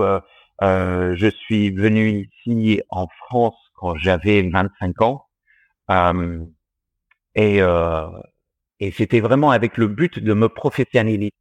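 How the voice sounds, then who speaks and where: A man's voice: on the low side, no room sound. A man in his thirties with an Australian accent, a distiller interviewed as a guest, talking casually with many fillers and restarts.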